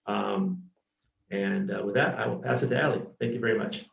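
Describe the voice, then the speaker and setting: A man hosting and introducing an online webinar in a relaxed way, with many ums and restarts. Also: fairly low pitch, moderate pace, creaky. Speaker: webinar host from an organization's programming team. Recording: roomy, distant, dull video-call audio.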